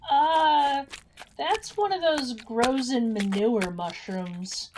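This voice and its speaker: A woman's voice: fairly high pitch, expressive, takes it slowly, darkish tone, a little hiss or hum, low-quality recording. A woman playing a concerned, level-headed gnome who coaxes patiently in a comedic audio drama. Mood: patient coaxing.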